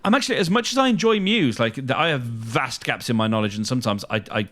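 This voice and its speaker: A man's voice: mid-range voice, lively intonation, fast talker, a bit bright. A British man co-hosting a podcast in casual chat, speaking hesitantly with repeated words and trailing thoughts. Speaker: podcast co-host.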